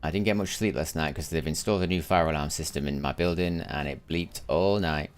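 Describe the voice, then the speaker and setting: A man's voice: extremely low pitch, fairly quick, soft background music. A tired man chatting through his gameplay on a live stream, musing aloud and often restarting his sentences. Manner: chatty, muses aloud, often repeats words while restarting. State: tired after a sleepless night, voice lower than usual. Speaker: gaming streamer. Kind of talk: casual live gameplay commentary.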